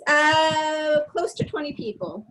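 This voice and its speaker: Slow-talking woman with a Queens accent. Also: sits high, completely flat delivery, fairly bright tone, a little hiss or hum, rough recording. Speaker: staff member reporting vote results. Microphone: video call.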